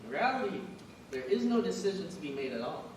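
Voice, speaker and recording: A young man playing a calm, wise professor. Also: a little high. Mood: reassuring. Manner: measured, reassuring lecturing. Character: wise, calm male college professor giving counsel. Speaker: actor in a student stage play. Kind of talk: live stage acting. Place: university auditorium stage. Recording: echoey stage sound from far off, audience noise.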